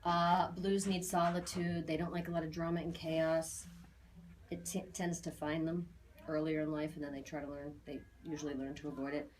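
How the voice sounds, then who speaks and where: A woman's voice: low voice, level tone, normal speed, darkish tone, a little hiss or hum, rough recording. A woman in her forties giving an informal spoken reading to clients, chatty and emphatic, repeating key phrases. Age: 40s. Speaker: aura reader.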